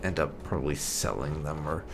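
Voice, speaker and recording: A man's voice: very deep voice, moderate pace. A man giving casual, rambling roleplay commentary on a video game, explaining his choices in asides. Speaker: gaming video host. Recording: game music behind the voice. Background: video game music.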